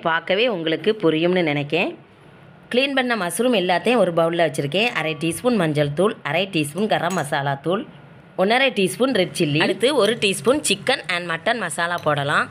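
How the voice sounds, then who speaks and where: A woman with a southern Tamil Nadu accent, narrating recipe steps as a home cook in a plain, colloquial, instructional way. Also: fairly low pitch. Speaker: home cook and cooking show host.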